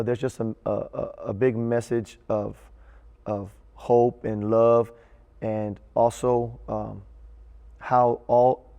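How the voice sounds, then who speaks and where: A man speaking as a theater director in an interview, earnest and halting, often repeating words as he thinks. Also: mid-range voice, warm tone, clear, resonant tone, long reverb tail, lo-fi sound. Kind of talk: interview reflection.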